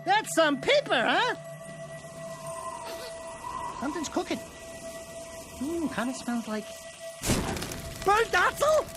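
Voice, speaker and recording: A man voicing a small, cocky, wisecracking rodent-like animal in a video game, brash and excitable. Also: very high voice, slow pace, pauses often, music in the background, full character voice.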